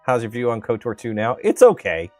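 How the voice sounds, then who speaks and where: A man's voice: medium pitch, big pitch swings, brisk, dry recording. A man from the Southern United States with a neutral accent. He rambles casually with lots of fillers while commenting on a game he is playing. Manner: rambling and chatty, with many fillers. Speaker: streamer. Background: faint game music.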